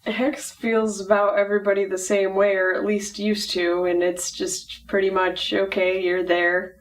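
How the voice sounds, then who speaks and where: Woman, medium pitch, casual conversational guest, recorded away from the mic. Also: flat delivery, moderate pace. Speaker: guest player on a talk show. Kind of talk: casual chat. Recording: distant from the mic.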